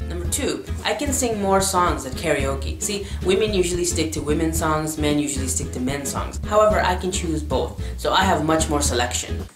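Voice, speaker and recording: A man with an unusually high voice, telling quick, animated comedy stories in a vlog. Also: roomy sound, over music. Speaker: comedy YouTuber.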